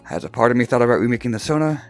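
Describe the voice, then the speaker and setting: A man's voice: mid-range voice, expressive, racing, soft background music. A young man, just woken up and groggy, casually telling a personal story as a vlogger, laughing often and restarting his words. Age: young adult.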